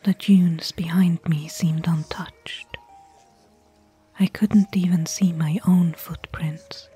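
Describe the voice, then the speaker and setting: Woman playing a slow, whispering, caring fantasy companion. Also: fairly low pitch, steady tone, faint music behind, exaggerated voice. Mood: hushed recounting. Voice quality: whispery, breathy, soft. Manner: intimate, gentle, slow and hushed. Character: caring, worried female companion on a fantasy desert expedition. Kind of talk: soft-spoken ASMR roleplay.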